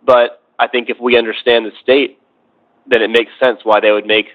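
Through a thin, phone-quality connection, a man of medium pitch offers political commentary in conversation. He is reflective and measured, laying out each point one phrase at a time. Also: level tone. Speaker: podcast discussion guest. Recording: tinny phone-like line.

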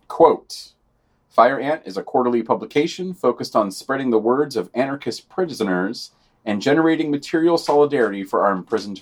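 Man, podcast co-host, scripted news reader, dry delivery, sardonic asides. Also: mid-range voice.

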